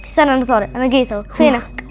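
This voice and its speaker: Child, Egyptian accent, extremely rapid speech, high voice, exclamatory gaming chatter, pleading repetition, faint music. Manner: exclamatory, pleading, repeats phrases. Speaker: gaming video co-host. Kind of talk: excited casual chat while playing a video game.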